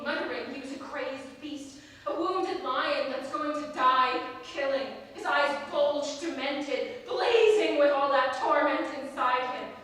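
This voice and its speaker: A woman's voice: high-pitched, a bit bright, slight background noise. A woman playing a distraught royal messenger in a Greek tragedy, declaiming a horror with theatrical intensity. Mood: mounting horror. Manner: intense, declamatory, vividly dramatic. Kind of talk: theatrical monologue, classical tragedy stage acting. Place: college theatre stage, distant microphone. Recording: echoey stage hall, recorded from far off.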